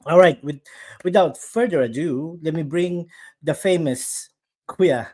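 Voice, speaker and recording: A man from the Philippines with a Filipino accent, hosting a casual live chat show, bubbly and quick to laugh, slipping Tagalog phrases into his English. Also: a little high, darkish tone. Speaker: host. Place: upstairs room at home.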